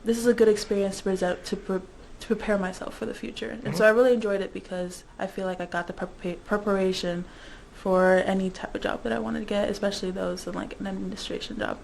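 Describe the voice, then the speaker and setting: A woman's voice: mid-range voice, fairly even intonation, quick pace, creaky, few pauses, darkish tone, a little hiss or hum, low-quality recording. A woman in her twenties, born in the Dominican Republic and raised in Indiana, talking casually about her internship as an interview guest. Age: 20s.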